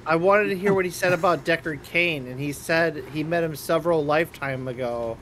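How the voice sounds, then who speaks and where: A man's voice: a little high, brisk, fairly bright tone, a little hiss or hum, low-quality recording. A man in casual chat with friends during video game play.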